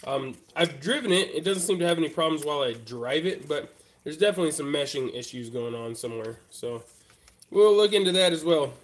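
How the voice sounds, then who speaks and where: A man's voice: fairly high pitch, animated, a little fast. Congested, laid-back guy chatting at a workbench. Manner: laid-back, chatty, talks straight to viewers. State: congested, stuffy-nosed from a head cold. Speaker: live-stream host. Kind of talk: casual live-stream chat while working at a bench. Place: hobby workbench.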